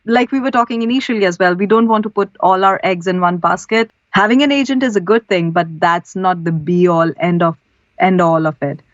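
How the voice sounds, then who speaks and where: A woman's voice: lowish voice, fairly quick, dry recording. A woman from India, a voice-over artist, answering interview questions in an earnest, practical way. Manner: earnest, practical, gives advice step by step. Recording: slightly dull, lo-fi audio.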